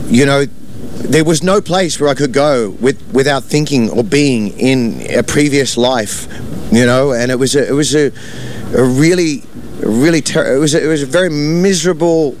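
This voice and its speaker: A man's voice: mid-range voice, slight background noise. A man being interviewed as a DJ and producer, speaking casually with many restarts and fillers. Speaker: DJ and producer guest.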